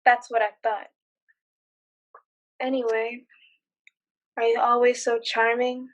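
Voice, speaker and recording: A woman's voice: fairly high pitch, little pitch movement, takes it slowly, poor audio. A young woman playing an outspoken young paralegal in a student stage drama, with a sarcastic, firm way of talking. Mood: offended, sardonic. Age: young adult. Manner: sarcastic, firmly lecturing.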